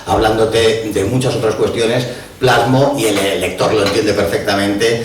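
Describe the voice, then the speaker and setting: An older author speaks bluntly and with open scorn, pausing on frequent hesitations. His voice sits fairly low and comes from well back in a very echoey room. A faint hum of room noise lies under it. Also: steady tone. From Madrid. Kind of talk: book presentation talk. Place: bookshop event room. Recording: roomy echo from a distant mic.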